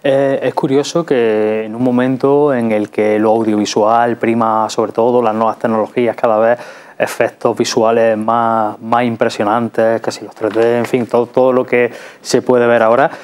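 A man's voice: medium pitch, level tone, moderate pace, darkish tone. A middle-aged man with an accent from Spain hosting a studio interview in a conversational, hesitant way, often checking with tag questions. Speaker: TV culture show host. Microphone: lapel mic.

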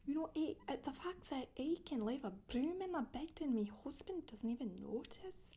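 A woman playing a chatty, boastful witch in a soft-spoken ASMR monologue, rambling and gossipy, often slipping into a whisper. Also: sits high, expressive, normal speed, a little hiss or hum. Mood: aggrieved. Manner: rambling, gossipy, casual asides. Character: Scottish witch woman confessing, matter-of-fact, chatty, boastful. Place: small indoor room, seen seated.

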